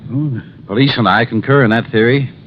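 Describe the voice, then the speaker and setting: An actor playing an anxious, self-important company president in 1950s radio drama, formal, agitated and halting. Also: male voice, mid-range voice, close to an everyday voice. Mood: grave, troubled. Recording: muffled, noisy old radio recording.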